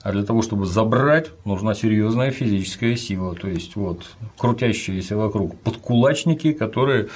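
A man's voice: fairly low pitch, moderate pace, few pauses, slightly rough. A man interviewing a guest about history, speaking emphatically with sarcastic asides.

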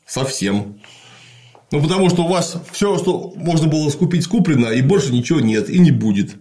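Man, medium pitch, very animated intonation, lecturing, explanatory, many fillers. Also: moderate pace. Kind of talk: explanatory history talk.

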